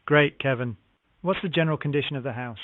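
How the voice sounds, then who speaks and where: A man handles a scripted property sales call at a brisk clip. He is courteous and formulaic, acknowledging before each question. The sound is a thin telephone line with very little room in it. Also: mid-range voice, no room sound. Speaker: real estate acquisitions phone agent. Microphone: phone call. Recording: narrow, tinny phone line.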